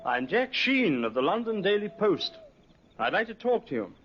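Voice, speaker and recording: An actor playing a glib, confident newspaper reporter in a 1950s radio drama. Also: male voice, sits high, big pitch swings, normal speed. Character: brash, breezy male newspaper reporter. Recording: muffled, slightly noisy old radio recording.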